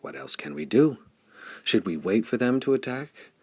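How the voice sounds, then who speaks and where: A man's voice: lowish voice, big pitch swings, moderate pace, breathy edge, no room sound, pitched above the performer's own voice, heavily acted. A man voicing a grave, bitter commander in an audiobook, speaking in formal, emphatic declamation. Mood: defiant. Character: grave, bitter male commander warning of raiders.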